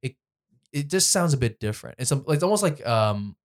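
A man chatting casually with friends, his voice sitting in an ordinary middle register. He talks at length, circling back and restarting phrases as he reaches for what he means, and gets more animated as the talk goes on. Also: mid-range voice, fairly quick. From Hong Kong. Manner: talkative, restarts often, animated when laughing. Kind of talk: casual group chat.

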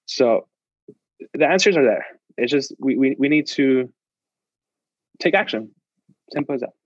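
A man's voice: mid-range voice, expressive, measured pace, dry recording. Male podcast guest, native Spanish speaker, motivational and sincere, lo-fi dry sound. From United States. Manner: earnest, motivational, repeats words as he restarts. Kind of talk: casual interview chat.

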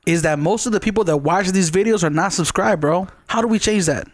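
A man hosting a casual podcast with a Mexican-American accent, talking upbeat and quick with plenty of slang. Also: sits high, no echo. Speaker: podcast host.

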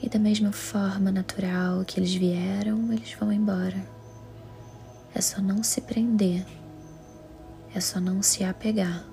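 Slow, soft Brazilian woman guiding meditation. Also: mid-range voice, vocal fry. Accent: Brazilian Portuguese. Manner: calm, soothing, measured. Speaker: meditation guide. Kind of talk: guided meditation. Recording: music under the voice. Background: music.

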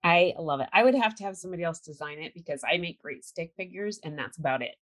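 A woman from California with an American accent, hosting a casual interview, chatty and enthusiastic and quick to laugh. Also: fairly low pitch, lively intonation, a bit bright. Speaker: host.

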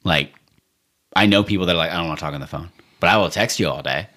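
Casting: low-pitched American guy, loose rambling podcast talker, curses freely. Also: quick pace. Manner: rambling, swearing, animated retellings. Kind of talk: casual chat.

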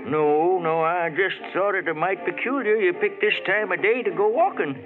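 A man's voice: high-pitched, moderate pace, natural voice. A man voicing a nosy, grumbling older country man. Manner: folksy, curious, grumbling. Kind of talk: 1940s radio drama acting. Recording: tinny old radio recording with music.

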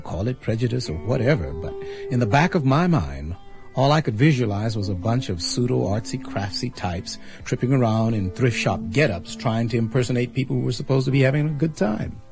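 Skeptical artsy guy telling his story on a radio play, wry, swingy pitch, music underneath. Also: sing-song, fairly quick. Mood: wry, reluctant. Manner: wry, colloquial. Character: wry, skeptical male artist recounting a strange encounter. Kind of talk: first-person radio drama narration.

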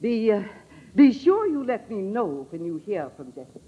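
A woman's voice: mid-range voice, very animated, normal speed, breathy edge, dull audio. A woman acting a determined, suspicious middle-aged sister-in-law in a 1940s radio drama, blunt and accusing, on an old radio recording. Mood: brisk, watchful.

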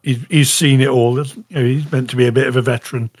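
A man chatting casually as a podcast panellist, halting and rambling, often saying "you know". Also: mid-range voice, steady tone, breathy edge. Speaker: podcast panellist reviewing shows. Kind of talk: casual chat.

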